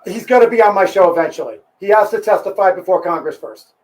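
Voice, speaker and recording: A man's voice: high-pitched, expressive, fast, a little mellow, poor audio. A man hosting a show, chatting casually and eagerly cutting in over his guest. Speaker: show host. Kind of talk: casual political chat.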